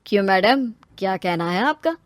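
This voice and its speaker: A woman's voice: mid-range voice, animated, normal speed, dry recording, natural voice. A woman voicing a young husband and new father in a cartoon story, easygoing and teasing. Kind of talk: cartoon story character acting.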